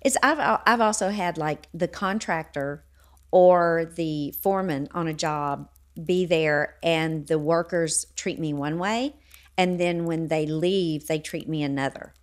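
An older woman co-hosting a podcast in a cozy living room. She recounts her experiences in a calm, matter-of-fact way. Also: deep voice, unhurried. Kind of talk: casual chat. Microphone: boom-mounted studio mic.

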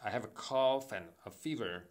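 A man with a Korean accent, a native Korean speaker, carefully reading English phrasebook sentences aloud over a phone call during a practice session. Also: mid-range voice. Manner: careful, deliberate read-aloud, slightly halting.

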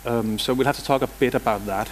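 A man's voice: medium pitch, quick pace, a little hiss or hum. A middle-aged man from Denmark with a Danish accent, giving a technical conference talk in a large hall with dry jokes and casual asides. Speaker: conference speaker.

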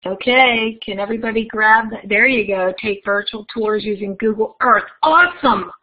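A woman's voice: mid-range voice, normal speed. Woman presenting over tinny webinar audio. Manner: friendly, guides audience through interactive activity. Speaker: conference keynote speaker.

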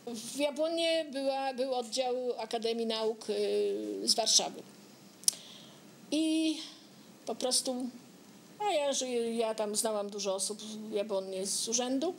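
A woman's voice: on the high side, a little hiss or hum. An older woman telling anecdotes conversationally, addressing her listener directly and quoting bits of dialogue. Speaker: local resident sharing memories.

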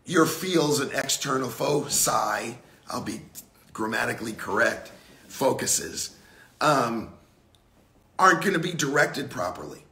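A middle-aged man with a gravelly, raspy voice, a bit hoarse from a cold, giving a casual, blunt golf lesson on a livestream from an indoor simulator room. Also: mid-range voice, animated, bright, forceful tone, reverberant. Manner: blunt, casual, drawn-out emphasis. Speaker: golf instructor.

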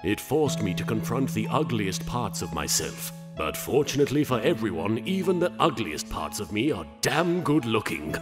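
A man's voice: on the low side, very animated, normal speed, loud music behind the voice. A man voicing a vain, foul-mouthed ancient pharaoh with dramatic, sarcastic delivery in an anime parody. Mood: smug. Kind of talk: anime parody fan dub.

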